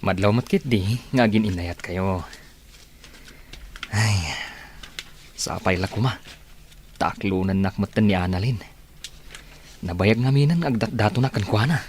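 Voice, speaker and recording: A man plays a lovelorn but hopeful young buddy in a radio drama, teasing his friend. His pitch is on the low side, with slight noise behind. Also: measured pace, pauses often, darkish tone, close to an everyday voice. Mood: teasing, wistful. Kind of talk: Ilocano radio drama acting.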